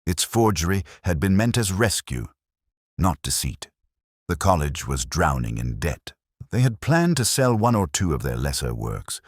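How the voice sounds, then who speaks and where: A man playing a melancholy detective who recalls a case in measured, literary first-person mystery narration. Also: a bass voice, slightly rough, no room sound, clean studio sound, exaggerated voice. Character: melancholy older male English police detective recalling a case. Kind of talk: scripted first-person mystery narration, radio drama style.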